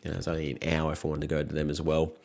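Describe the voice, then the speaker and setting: A man in his thirties, croaky from a cold, chatting casually and frankly to camera as a motorcycle vlogger. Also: very deep voice, monotone, racing, darkish tone.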